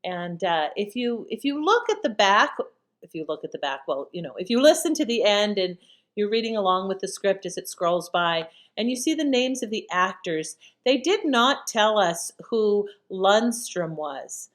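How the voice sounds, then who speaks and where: A woman's voice: medium pitch, very animated, normal speed. Casual, rambling woman host. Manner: rambling, conversational, trailing off. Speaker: host of an old-time radio channel. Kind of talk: casual chatty commentary after a programme.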